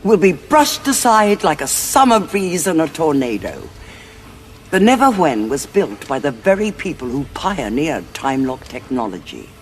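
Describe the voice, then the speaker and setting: A woman playing a cold, calculating senior Time Lord strategist in an audio drama, with a measured, imperious delivery. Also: low voice, sing-song, a little hiss or hum. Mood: fanatical.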